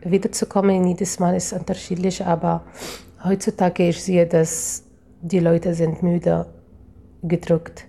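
A young woman with an Arabic accent, a piano student answering interview questions in a halting way, searching for words. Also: on the low side, little pitch movement, creaky, mellow. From Palestinian town in Israel.

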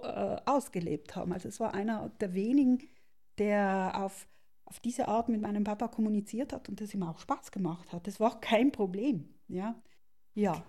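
A middle-aged woman from South Tyrol with a South Tyrolean accent, speaking reflectively as an interview guest in searching, halting phrases. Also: mid-range voice, mellow. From South Tyrol, Italy.

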